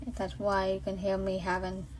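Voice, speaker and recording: A woman in her late thirties with a Boston accent tells her own story into a phone. She talks earnestly at a middle pitch, rambling, restarting sentences and filling pauses. A faint hiss sits behind her voice. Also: monotone, rough recording. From Boston area, USA. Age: late 30s. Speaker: vlogger sharing her faith testimony. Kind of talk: casual spoken testimony. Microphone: iPhone.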